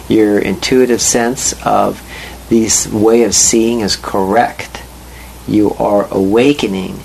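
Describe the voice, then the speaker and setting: A man leading a spiritual study group, affirming and explaining in a slow, emphatic way. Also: mid-range voice, gravelly, slight background noise, rough recording.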